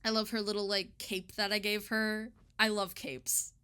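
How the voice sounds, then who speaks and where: A woman's voice: medium pitch, flat delivery, normal speed, sharp tone, clean tone. A woman speaks in a mid-range voice as an illustrator being interviewed. She chats casually and informally, with a giggly, self-deprecating manner.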